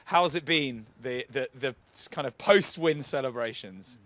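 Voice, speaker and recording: A man's voice: mid-range voice, unhurried, fairly bright tone. A man hosting a rugby podcast, chatting casually and jokily with many filler words. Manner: jokey, hesitant with filler words. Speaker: podcast host. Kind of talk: casual chat.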